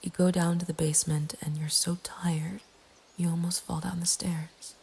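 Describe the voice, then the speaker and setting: A woman narrating a scary story in a breathy, hushed ASMR whisper, slow and deliberate. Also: lowish voice, little pitch movement. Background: nature ambience.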